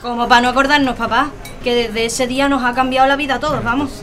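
A woman's voice: sits high, fairly even intonation, fast, a bit bright, soft background music. A young woman playing a teasing, sarcastic teenage daughter with an Andalusian accent in an audio drama. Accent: Andalusian Spanish.

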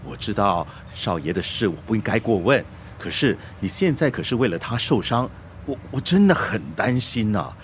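Fussy low-voiced manservant, animated delivery. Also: male voice, normal speed, a little hiss or hum. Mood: worried. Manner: hesitant, fussy, deferential. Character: deferential, worried, meddling manservant to young master. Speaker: radio drama actor. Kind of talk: radio drama acting.

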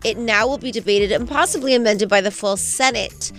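A woman's voice: mid-range voice, brisk, fairly bright tone, faint music behind. A woman co-hosting a morning show, trading affectionate, hyping banter and reading the news. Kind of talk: casual chat and news reading.

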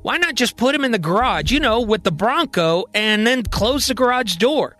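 A man's voice: extremely high pitch, animated, moderate pace, pushed and bright, soft background music, pitched above the performer's own voice, exaggerated voice. A male storyteller voicing a practical, unruffled man in an audio drama, matter-of-fact in manner. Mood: casually scheming.

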